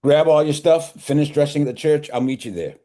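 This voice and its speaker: A man's voice: fairly high pitch, fairly quick, dark tone, natural voice. A man playing an aging, devoted father who grieves but reassures, in a live screenplay table read. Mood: urgent, reassuring. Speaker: actor reading a script.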